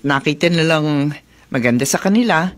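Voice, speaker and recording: A woman acting a caring, knowing middle-aged widowed mother in a Filipino radio drama, gently teasing and motherly. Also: sits low, animated, moderate pace. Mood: chatty.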